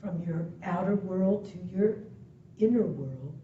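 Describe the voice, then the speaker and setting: A woman meditation instructor leading a guided practice in soothing, deliberate phrases with long pauses between them. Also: lively intonation. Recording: muffled, echoey, distant web-call audio, faint rumble.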